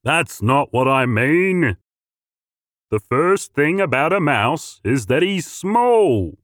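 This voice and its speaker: A man voicing a scruffy, clever male mutt dog who speaks loudly and firmly, in a storybook reading. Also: on the high side, sing-song, slow, dry recording, studio quality, full character voice. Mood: scheming.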